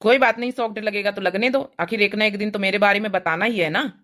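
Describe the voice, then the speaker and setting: Woman as flattering boyfriend teacher guy in a comedy cartoon, pushy, sweet talker, middle pitch. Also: quick pace, close to an everyday voice. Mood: coaxing. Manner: coaxing, smooth-talking. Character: flattering, pushy young male schoolteacher lover.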